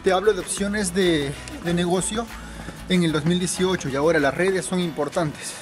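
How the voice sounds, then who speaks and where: Young man, high voice, travel vlogger, emphatic advice, outdoors, camera at arm's length, music behind. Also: steady tone, normal speed. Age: 20s. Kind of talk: informal advice vlog to camera. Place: outdoors in city square and narrow streets.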